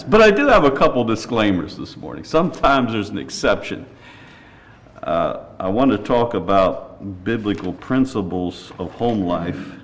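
Older preacher with a low raspy voice. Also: male voice. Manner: earnest, measured delivery with folksy asides. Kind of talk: sermon. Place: church.

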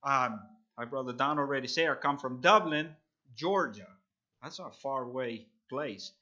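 Earnest preacher, bright voice. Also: male voice, medium pitch, highs cut off. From El Salvador. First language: Spanish. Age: 30s. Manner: halting, earnest, with frequent fillers. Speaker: visiting preacher. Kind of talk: sermon.

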